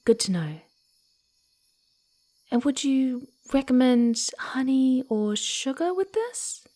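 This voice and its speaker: A woman voicing a playful, sweet, eager young female fox spirit in a soft ASMR roleplay, cooing and giggly, often slipping into breathy whispers. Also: high voice, lively intonation, takes it slowly, heavily acted. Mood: curious, gentle. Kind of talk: ASMR roleplay, speaking softly to the listener.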